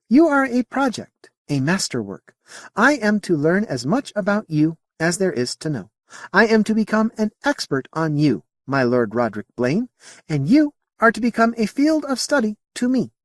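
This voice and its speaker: A man voicing a curious female alien guide who speaks slowly with careful enunciation and formal phrasing. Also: high voice, no echo, clean studio sound, pitched above the performer's own voice.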